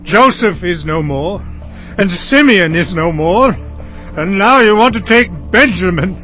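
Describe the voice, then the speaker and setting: An actor playing a grieving, aggrieved old father in a dramatized audio Bible, pleading forcefully and sorrowfully. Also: sits high, expressive, unhurried, heavily acted. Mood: anguished. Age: older. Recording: music behind the voice.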